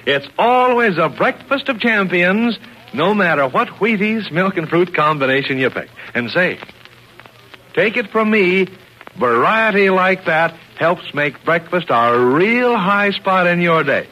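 A man's voice: high voice, animated, a little slow, sharp tone. A man with an American accent announcing an old radio show in folksy, enthusiastic sales style, on a noisy vintage recording. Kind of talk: 1940s radio announcing and sponsor pitch.

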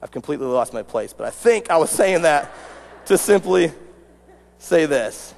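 A man's voice: on the high side, expressive, moderate pace, creaky, darkish tone. A man in his late thirties with an American accent, preaching an informal sermon, repeating phrases for emphasis and restarting sentences. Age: late 30s. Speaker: youth pastor preaching. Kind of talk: casual sermon to a congregation. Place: large church sanctuary stage, indoors. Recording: reverberant church hall sound.

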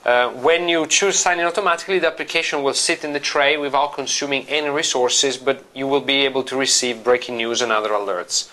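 Bright-toned Italian man demoing software. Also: mid-range voice, brisk, hardly any pauses. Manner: relaxed, explanatory, with frequent fillers and restarts. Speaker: company representative demonstrating software. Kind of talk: product walkthrough. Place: office.

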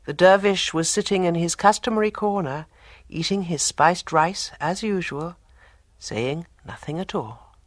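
A storyteller reading a tale as an audiobook, in a soft, breathy voice with measured delivery and dramatic pauses. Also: medium pitch, no room sound, clean studio sound. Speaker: storyteller narrator. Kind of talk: scripted audiobook story narration.

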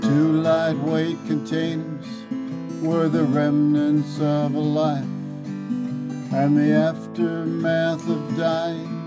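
A woman slowly singing and speaking a mournful song. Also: deep voice, completely flat delivery. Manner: slow, deliberate and mournful. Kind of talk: slow sung-spoken song with guitar. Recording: guitar accompaniment under voice.

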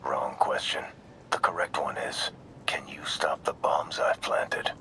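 An actor voicing a cold, smug mercenary villain in a video game, taunting in a gravelly whisper. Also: male voice, very deep voice, steady tone, measured pace, sharp tone, full character voice. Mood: menacing.

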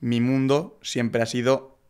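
A young man from Madrid with a Spain Spanish accent, chatting as a podcast guest. He talks into a close studio mic in a relaxed way, with many fillers and restarts. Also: medium pitch, slow pace, smooth. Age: young adult. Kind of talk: casual interview chat. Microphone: broadcast mic on arm, close.

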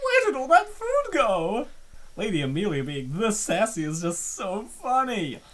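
Animated woman doing playful commentary. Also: medium pitch, measured pace. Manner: excitable, theatrical, joking. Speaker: comic dub narrator and commentator.

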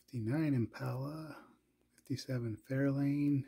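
Slow, gravelly man narrating a tour. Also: fairly even intonation, warm tone. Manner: slow, low-key, listing items with pauses and fillers. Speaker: collector giving a tour. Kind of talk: casual walkthrough narration.

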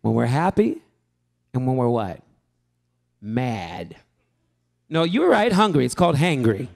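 A man teaching a sermon-preparation workshop to a live group in a church hall, animated and joking, calling out to the room. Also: mid-range voice, unhurried, gravelly. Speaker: preaching instructor.

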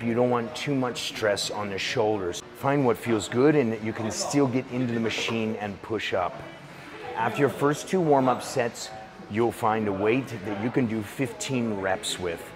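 A man in his forties giving calm, steady step-by-step workout instructions as a voice-over. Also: lowish voice. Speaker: fitness instructor. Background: music.

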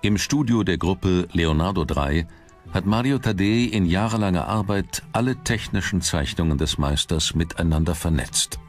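A man's voice: sits low, lively intonation, normal speed. A man reading scripted narration for a documentary as its narrator. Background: background music.

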